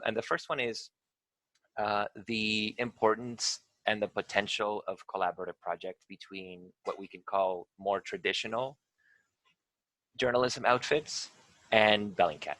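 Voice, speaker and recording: A man's voice: fairly low pitch, a bit bright, no room sound, low-quality recording. A man from Venezuela, an investigator, leading a live online tutorial over a video call, talking with many ums and uhs.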